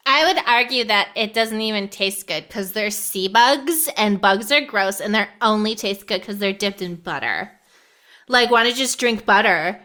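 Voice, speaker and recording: A woman player at a tabletop game who is dry and dismissive in what she says. Her voice is bright and sharp, at a middle pitch. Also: moderate pace. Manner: sardonic, dismissive.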